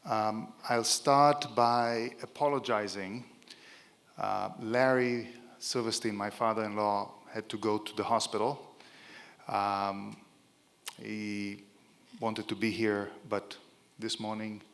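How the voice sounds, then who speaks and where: A man's voice: on the low side, fairly even intonation, slow, a bit bright. A middle-aged man with an Israeli accent gives an informal, joking conference speech with many fillers to a large room. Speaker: keynote speaker. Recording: reverberant event hall.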